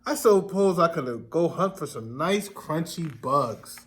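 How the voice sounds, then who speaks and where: Guy doing a worried mother hen type from a kids storybook, high pitched, fretting and insisting. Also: animated, takes it slowly, a bit bright, a little hiss or hum. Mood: fretful. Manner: fretful, exclaiming, insistent. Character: anxious, worrying hen, female, insistent and alarmed. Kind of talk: children's storybook read-aloud.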